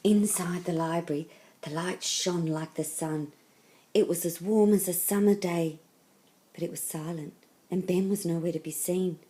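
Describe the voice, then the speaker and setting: Female storybook narrator, slightly low register, dramatic and deliberate phrasing for young listeners. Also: steady tone, warm tone. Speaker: storybook reader. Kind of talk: expressive scripted children's story narration.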